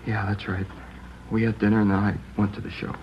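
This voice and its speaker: A man's voice: fairly low pitch, level tone, slight background noise, natural voice. An actor doing a shaken teenage boy. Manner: halting, upset, voice rising on the worst parts. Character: shaken teenage boy whose mother was killed. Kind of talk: 1950s radio drama acting. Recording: dull lo-fi old radio broadcast.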